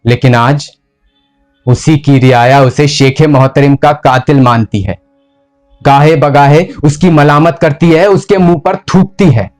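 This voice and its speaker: A male actor playing a ruthless, tormented medieval sultan in a slow, deliberate theatrical monologue with heavy pauses. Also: on the high side, darkish tone, faint music behind, natural voice. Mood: bitter, haunted. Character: brooding, ruthless, tormented medieval sultan confessing his murders. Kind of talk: dramatic theatrical Hindustani monologue.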